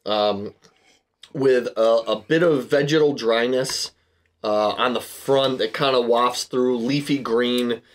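A man's voice: mid-range voice, takes it slowly. A man in his thirties co-hosting a beer review, describing flavors in detail and teasing his co-host at a table indoors. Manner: descriptive tasting talk, teasing. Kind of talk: casual chat. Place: indoor room with a tasting table.

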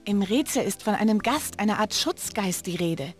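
A woman's voice: mid-range voice, animated, normal speed, faint music behind, natural voice. An actress voicing an inquisitive teenage girl detective in a video game. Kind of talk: video game character dialogue.

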